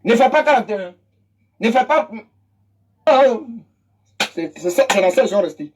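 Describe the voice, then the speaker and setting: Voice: medium pitch, expressive, a little slow, noisy background. A speaker with an Ivorian French accent giving an impassioned political monologue, emphatic and mocking, repeating phrases for effect. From Côte d'Ivoire. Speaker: political commentator.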